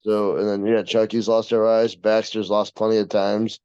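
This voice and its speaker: A young American man co-hosting a casual podcast in a low, dark voice. He talks in a brash, slangy way and swears freely. The sound is dull and lo-fi. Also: little pitch movement, moderate pace, smooth, no echo. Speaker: podcast co-host and wrestler. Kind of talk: casual chat.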